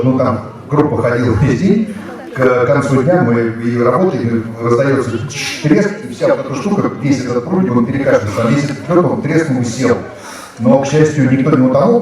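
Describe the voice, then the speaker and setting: A man's voice: mid-range voice, darkish tone. A man in his fifties telling rambling anecdotes with many fillers, speaking casually to a school audience. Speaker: guest speaker, actor. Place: large hall with students. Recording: echoey hall, mic at a distance.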